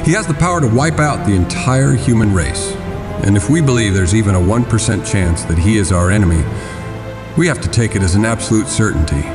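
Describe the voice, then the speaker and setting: An actor voicing a grim, vengeful middle-aged vigilante billionaire in an audio drama, speaking gravely and deliberately. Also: male voice, fairly low pitch, animated, close to an everyday voice. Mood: grave, insistent. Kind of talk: audio drama narration and dialogue. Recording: dramatic music behind the voice.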